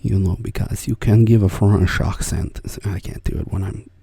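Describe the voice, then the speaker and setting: A low, gravelly American man speaks softly and close, his voice very breathy and husky, often sinking to a whisper. The tone is dark and mellow, and the sound is dry with no room around it. He wanders from thought to thought, correcting himself with small soft chuckles. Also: fairly even intonation, moderate pace, dry recording. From United States. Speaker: ASMR creator. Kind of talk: soft-spoken ASMR rambling chat.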